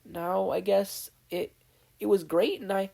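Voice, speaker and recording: Upset teen boy for audio fan fiction, acted by a narrator: gentle, stammering, raised pitch, full of self-doubt. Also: steady tone, creaky. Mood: distraught. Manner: hesitant, halting, stammering.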